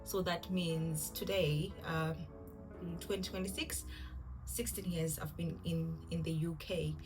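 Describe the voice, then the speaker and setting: A woman's voice: on the low side, steady tone, unhurried, music in the background. A woman in her thirties with a Zimbabwean accent, chatting casually to camera as a cooking vlogger in a giggly, self-deprecating way. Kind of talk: casual introductory vlog talk to camera.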